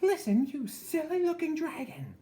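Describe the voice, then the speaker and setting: A man's voice: very high voice, huge pitch swings, moderate pace, dark tone, voice pushed up, full character voice. A man voicing a haughty, bratty young princess who rants and shrieks in a bedtime story. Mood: ranting. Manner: ranting, shrieking.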